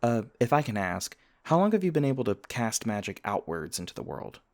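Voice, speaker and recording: A man's voice: fairly low pitch, sing-song, brisk. A man playing a friendly, teasing vampire university student and tour guide in a casual, conversational ASMR roleplay. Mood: easygoing, informative. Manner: casual, conversational, gently joking. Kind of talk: ASMR roleplay acting, casual chat to listener.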